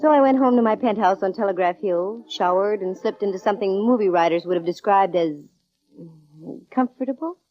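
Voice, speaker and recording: An actress playing a cool, wisecracking female private detective in 1940s radio style, brisk, dry and self-assured. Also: mid-range voice, close to an everyday voice. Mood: wry narrating. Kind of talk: 1940s radio acting, first-person detective narration. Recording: dull vintage radio recording, music underneath.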